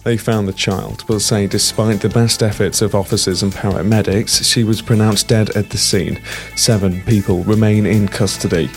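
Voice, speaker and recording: A man delivering a radio news report in a sober, steady manner. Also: on the low side, normal speed, soft background music.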